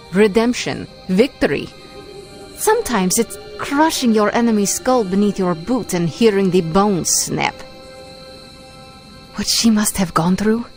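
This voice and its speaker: A woman playing a jaded, hard-edged young witch in a video game, brooding and sardonic in a deliberate delivery. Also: mid-range voice, darkish tone. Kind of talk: dramatic video game acting.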